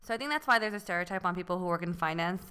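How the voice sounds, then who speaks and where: A young woman from the Bay Area talks fast on a podcast. Her voice is bright and a touch tense. She tells stories lively and acts out what other people said. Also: lowish voice, smooth. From San Francisco Bay Area. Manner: lively storyteller, acts out quotes. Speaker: podcast co-host. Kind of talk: casual chat.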